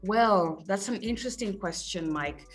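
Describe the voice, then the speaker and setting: A woman in her thirties from Kenya, chatting relaxed and easily as a guest on a video call interview. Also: medium pitch, moderate pace, soft background music.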